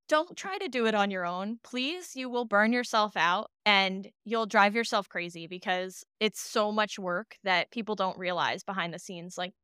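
A woman chatting casually as an interview guest, an animated storyteller who slips into acting out imagined dialogue. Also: mid-range voice, smooth, no room sound, clean studio sound. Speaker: podcast producer, interview guest.

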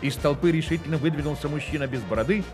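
A man's voice: a little high, fast, close to an everyday voice. A man acting as the wry author-narrator of a satirical radio play, reading scripted narration. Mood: wry, storytelling. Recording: narration with music behind.

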